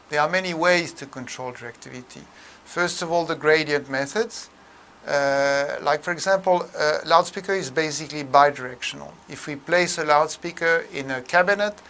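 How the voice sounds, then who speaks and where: A man presenting a technical tutorial in an explanatory way, with a halting, hesitant delivery full of mid-phrase pauses. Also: fairly high pitch, a bit bright, a little hiss or hum.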